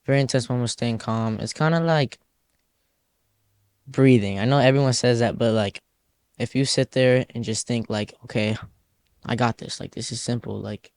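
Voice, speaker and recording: A man's voice: medium pitch, normal speed, darkish tone. A teenage boy, a youth soccer player, answering interview questions in a loose, rambling way full of "like" fillers. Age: teens.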